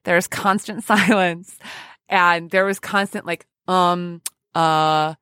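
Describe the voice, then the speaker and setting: A woman's voice: on the low side, fairly even intonation, takes it slowly, a bit bright, smooth, dry recording, clean studio sound. A woman hosting a podcast in a casual, chatty, self-deprecating and giggly way. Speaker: podcast host.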